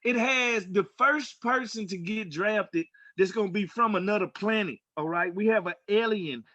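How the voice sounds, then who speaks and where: A man's voice: high-pitched, normal speed, no room sound, muffled recording. A man co-hosting a casual sports talk show as a former player, telling stories emphatically and acting out the dialogue. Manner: animated storyteller, acts out quoted dialogue, emphatic.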